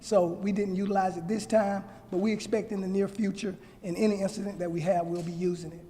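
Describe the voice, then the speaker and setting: Male official, high-pitched and mellow, measured formal press answers, reverberant hall. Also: level tone, noisy background. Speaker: law enforcement official answering press questions.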